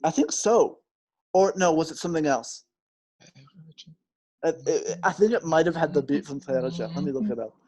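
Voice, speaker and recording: A man's voice: on the high side, moderate pace, long pauses, a little mellow. A young man co-hosting a casual film podcast, joking and teasing his co-host, with hesitant fillers.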